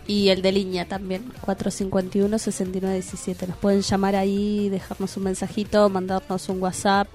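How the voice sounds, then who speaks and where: A woman's voice: mid-range voice, completely flat delivery, brisk, few pauses, a little mellow. A woman with a Rioplatense Argentine accent, co-hosting a radio show in a casual chatty style. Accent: Rioplatense Argentine Spanish. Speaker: radio co-host. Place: community radio studio. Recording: music behind the voice. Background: music.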